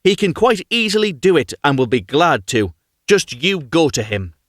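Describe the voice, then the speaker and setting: A man's voice: a little high, sing-song, moderate pace, fairly bright tone, dry recording, clean studio sound. A man voicing a bossy, greedy wife in a storybook tale, demanding and commanding.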